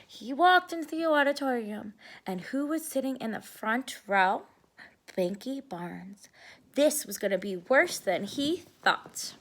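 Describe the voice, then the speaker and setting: A woman with a high voice reads a children's storybook aloud. She takes her time, with bright tone and expressive, simple delivery suited to preschoolers. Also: measured pace. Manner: explains words simply to young listeners.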